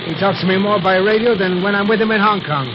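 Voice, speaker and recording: A man's voice: high-pitched, fairly even intonation, fast talker, close to an everyday voice. An actor playing a brash, blunt, slangy villain pilot who is uneasy under his boss, in a 1930s radio serial. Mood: brisk, grumbling. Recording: noisy muffled 1930s radio recording.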